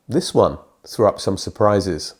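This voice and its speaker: A middle-aged British man with a thick, croaky, congested voice from a cold, presenting a scripted hi-fi review with dry, self-deprecating asides. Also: deep voice, animated, a little slow, a little hiss or hum. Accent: British English. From Britain.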